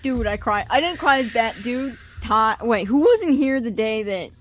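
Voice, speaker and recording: A woman banters casually with her fellow performers on air. Her voice sits a bit high, and her talk is slangy and teasing. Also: animated, moderate pace. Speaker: radio show cast member. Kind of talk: casual chat.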